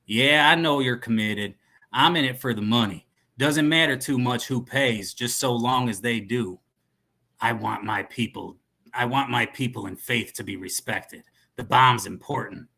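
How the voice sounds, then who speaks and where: Man doing a forceful cowboy arms dealer drawl. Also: mid-range voice, unhurried, creaky, heavily acted. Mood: resolute. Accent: put-on American cowboy.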